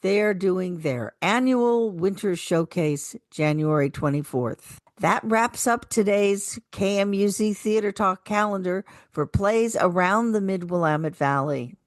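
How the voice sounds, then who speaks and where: Slow, measured female radio announcer. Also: medium pitch, lively intonation, no echo, studio quality. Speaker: radio co-host. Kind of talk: scripted calendar announcing.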